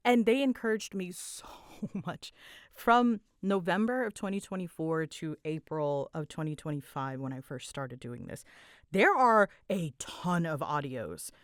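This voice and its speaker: A woman's voice: lowish voice, measured pace, clean tone, dry recording, clean studio sound. A woman chatting casually and animatedly as she answers listener questions, with a giggly, self-deprecating manner. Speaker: hobbyist audio roleplay creator answering listener questions.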